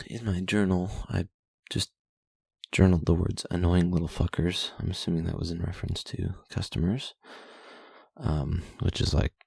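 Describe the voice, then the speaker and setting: Very low, gravelly young man. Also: darkish tone, dry recording. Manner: profane, self-deprecating, rambling. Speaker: vlogger reading his journal. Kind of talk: late-night journal reading, casual.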